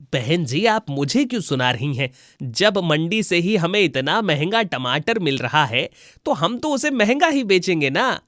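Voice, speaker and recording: A man's voice: a little high, sing-song, unhurried, fairly bright tone, dry recording, clean studio sound. Man playing a blunt market vegetable seller. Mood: defensive. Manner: argumentative.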